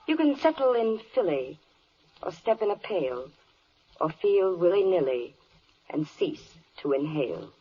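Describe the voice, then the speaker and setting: A charming, poised queen in a vintage radio verse play. Her husky voice lilts widely through rhymed recitation. The old recording sounds muffled. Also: lowish voice, big pitch swings, a little slow, full character voice. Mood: wry, lamenting. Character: charming, eloquent queen speaking for the animal kingdom.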